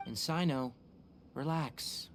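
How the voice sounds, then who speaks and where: An actor playing a gentle young male forest ranger in a video game, calm, precise and softly chiding. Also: medium pitch, slow pace, lightly acted. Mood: gently chiding.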